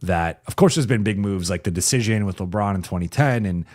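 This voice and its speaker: A man with a fairly low voice that gets a bit raspy, hosting a basketball stream from a home mic. He talks loosely and conversationally, restarting sentences as he thinks and reading out viewer comments between points. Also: brisk, darkish tone. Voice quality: raspy when talking for a while. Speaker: sports reporter and channel host. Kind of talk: casual live-chat basketball analysis.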